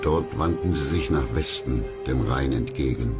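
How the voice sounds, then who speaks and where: A man reading scripted documentary narration in a dramatic, measured storytelling manner. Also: very deep voice. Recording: loud music under the voice. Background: music.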